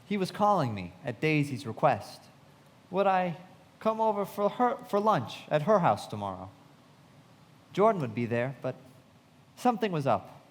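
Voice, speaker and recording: A man's voice: on the high side, very animated, moderate pace, pauses often. An actor playing a reflective, observant young man narrating his memories with literary care in a live radio play. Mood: reflective, vivid. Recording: reverberant hall with faint noise.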